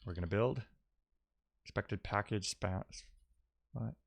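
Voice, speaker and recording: A man with a fairly low voice talks through his programming at a very slow pace. He sounds like a casual live streamer thinking out loud, muttering to himself and letting phrases trail away. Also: gravelly.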